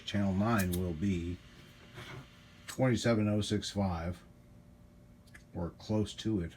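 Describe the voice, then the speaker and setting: A man with a low voice gives a casual hands-on demonstration as host of a repair channel. His delivery is informal and enthusiastic. There is light background noise from the workshop room. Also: darkish tone. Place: small indoor home workshop. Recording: noisy room ambience on camera mic.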